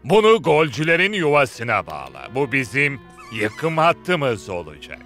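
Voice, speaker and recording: An actor playing a scheming, menacing tycoon villain in a cartoon dub, commanding and gloating. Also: male voice, medium pitch, wildly swooping pitch, measured pace, a bit bright, soft background music.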